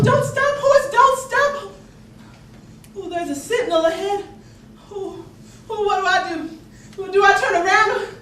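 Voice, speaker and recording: A woman's voice: very high-pitched, a bit bright. A woman playing a frightened, spirited enslaved young spy of the Civil War, telling her story theatrically in breathless, stammering exclamations. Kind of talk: theatrical stage monologue, narrating and reliving events. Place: theatre stage, miked from a distance. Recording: roomy distant stage capture, faint noise.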